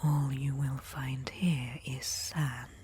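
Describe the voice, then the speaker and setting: A woman voicing a proud, disdainful desert tribeswoman who nurses reluctantly, in a breathy whispered ASMR roleplay with formal phrasing. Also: rumbling low, level tone, dark tone, slight background noise. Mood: scornful, curious. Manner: slow, measured, formal phrasing.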